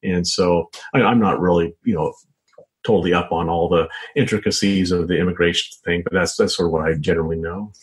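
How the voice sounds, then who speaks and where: Low american guy, author getting interviewed, halting, lots of you knows and sort ofs. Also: fairly even intonation, rough recording. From United States. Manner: halting, frequent hedging with "you know" and "sort of". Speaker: author and journalist, interview guest. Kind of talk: casual interview answers. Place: home office set up as a small studio.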